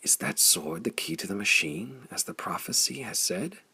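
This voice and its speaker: Male narrator as barbarian club bearer, fierce, gravelly, solemn declamation, pulp audiobook. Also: on the low side, animated, normal speed, pushed and bright, dry recording, full character voice. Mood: hushed awe. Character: fierce blond barbarian warrior, club bearer, reverent before prophecy. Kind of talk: audiobook character voice.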